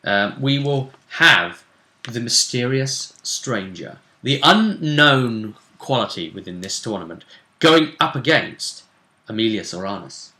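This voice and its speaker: A man speaking as game master, casually narrating a tabletop role-playing game in a halting, deliberate way. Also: medium pitch, expressive, pushed and bright, echoey room.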